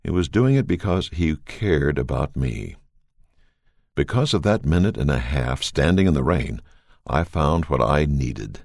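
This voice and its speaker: Very deep, gravelly man reading a story. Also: lively intonation, unhurried, a little mellow, no echo, clean studio sound. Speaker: reader. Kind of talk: scripted first-person story narration.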